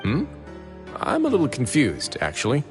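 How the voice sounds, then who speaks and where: A man voicing a composed, dry-humored warden with a calm, wry way of talking, in a video game. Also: mid-range voice, moderate pace. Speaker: game character. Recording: game audio with long reverb and faint music.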